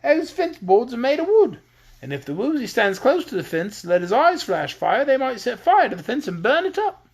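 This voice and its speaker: A woman doing a giddy, squeaky patchwork girl. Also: wildly swooping pitch, moderate pace, few pauses, exaggerated voice. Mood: gleeful.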